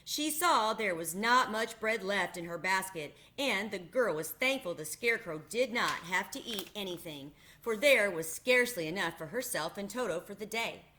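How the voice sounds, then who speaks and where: A woman narrates a children's book aloud in an expressive storytelling manner. Her voice is bright and clear. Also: medium pitch, normal speed, hardly any pauses, a little hiss or hum. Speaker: reader.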